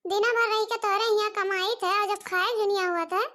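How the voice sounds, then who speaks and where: A woman's voice: extremely high pitch, steady tone, normal speed, pushed and bright. A woman voicing an overworked, argumentative young village daughter-in-law in cartoon comedy, with an Awadhi accent. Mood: defiant. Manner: whiny, argumentative.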